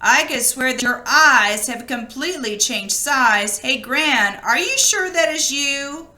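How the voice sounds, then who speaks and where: A woman's voice: sits high, expressive, slow pace, sharp tone, full character voice. A woman voicing a plucky young girl ninja from a storybook, speaking in a forthright, challenging way. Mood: suspicious.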